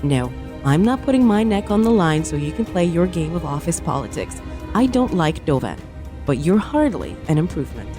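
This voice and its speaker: An actress playing a rule-bound, coldly dutiful starship commander in an audio drama, clipped, curt and formal. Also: female voice, low-pitched, very animated, normal speed, over music. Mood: stern, dismissive. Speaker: starship first officer. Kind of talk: science fiction audio drama acting.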